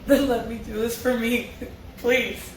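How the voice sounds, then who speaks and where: A woman's voice: on the high side, little pitch movement, takes it slowly, background noise, pitched above the performer's own voice. A woman reading the part of an earnest, guilt-ridden younger brother in a drama table read. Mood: pleading. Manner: earnest, pleading. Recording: roomy, distant mic, music underneath.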